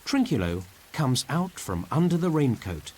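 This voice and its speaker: Slow, animated male storyteller. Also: medium pitch, breathy edge. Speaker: storybook narrator. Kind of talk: slow read-along storytelling for beginners.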